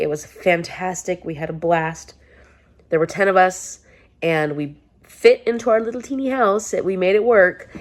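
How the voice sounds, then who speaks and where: A woman vlogging casually to camera, chatty and upbeat, a little congested with a sore throat. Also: fairly low pitch. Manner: chatty, upbeat, rambling with restarts. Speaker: book vlogger.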